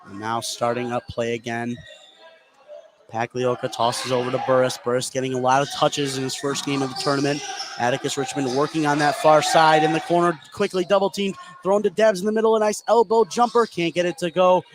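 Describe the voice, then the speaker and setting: A man with a slightly high voice calls a live basketball game as radio play-by-play. He follows the action closely and lifts with excitement on big moments. Clear ambient noise sits behind him. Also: fairly quick. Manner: rises in excitement on shots and steals. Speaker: play-by-play sports commentator.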